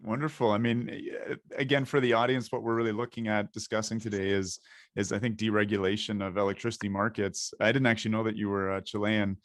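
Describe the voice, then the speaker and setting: A man's voice: on the low side, little pitch movement, fast, dry recording, clean studio sound. A man hosting a podcast interview, talking at length in a rambling, thinking-aloud way. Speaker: podcast host.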